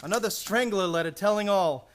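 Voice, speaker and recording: High-voiced, fed-up office man. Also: fairly quick, a bit bright, a little hiss or hum. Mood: exasperated. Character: fed-up, sarcastic male newspaper office worker. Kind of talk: radio play acting.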